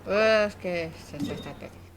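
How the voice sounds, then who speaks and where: A woman's voice: lowish voice, fast, slight background noise, rough recording. An Italian woman giving casual live commentary on a game, informal and thinking aloud, often repeating her words. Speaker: game streamer. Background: game music.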